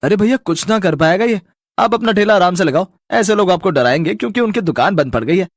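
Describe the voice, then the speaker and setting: A man's voice: high-pitched, lively intonation, quick pace, no echo, studio quality. A man playing an encouraging young local in a cartoon story, earnest and reassuring. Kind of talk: animated story cartoon dialogue.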